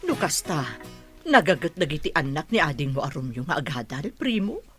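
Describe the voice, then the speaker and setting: A woman's voice: sits low, very animated, moderate pace, faint music behind. A woman playing a stern, worried mother with a scolding manner in a radio drama. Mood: troubled. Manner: scolding, forceful.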